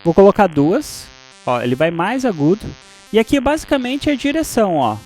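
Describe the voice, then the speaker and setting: A Brazilian man giving an informal, explanatory software tutorial, often checking that the listener follows. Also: high voice, sing-song, fairly quick. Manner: explanatory, often checking understanding with tag questions. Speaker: music software instructor.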